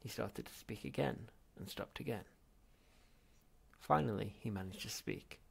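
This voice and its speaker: A man narrates a comic novel in a very creaky, gravelly voice with a husky grain. It is expressive storytelling, pitched on the low side. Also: unhurried. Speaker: audiobook reader. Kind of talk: expressive literary narration of comic science fiction.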